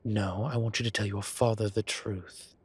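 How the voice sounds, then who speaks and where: A man voicing an old, fatherly, whimsical boss, fond and gently insistent, with theatrical old-fashioned phrasing in an audio roleplay drama. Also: on the low side, steady tone, moderate pace, husky. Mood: firm, fatherly.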